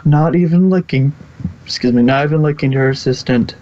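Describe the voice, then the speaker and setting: A man's voice: mid-range voice, brisk, a little mellow, slight background noise. A man reading fan fiction aloud as a scripted story narrator, sometimes stumbling and rereading words. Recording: slightly muffled recording.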